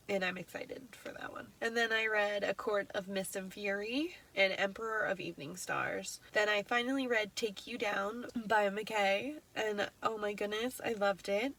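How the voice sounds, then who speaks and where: A woman's voice: medium pitch, fairly bright tone, poor audio. A young woman with a stuffy, nasal voice from congestion, chatting casually through a list of books she read, with frequent ums and self-corrections. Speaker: book vlogger. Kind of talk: casual reading wrap-up.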